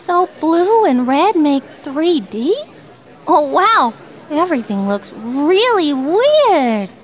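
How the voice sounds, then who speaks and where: An actress playing a playful, tipsy 1950s housewife in a retro radio drama, teasing and drawling. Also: female voice, high-pitched, big pitch swings, slow pace, full character voice. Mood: giddy.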